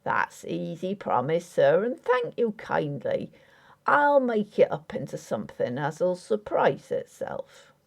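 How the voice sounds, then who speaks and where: A woman's voice: fairly low pitch, sing-song, slow pace, vocal fry, heavily acted. A woman voicing a dogged, wry, superstitious old village carpenter with a folksy, rambling way of talking. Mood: coaxing. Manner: folksy, rambling, dialect grammar.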